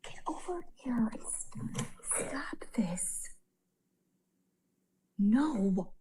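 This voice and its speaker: A woman's voice: on the high side, lively intonation, very slow, dark tone. A young woman in a casual vlog who often drops to a whisper, talking to her dog and swearing casually.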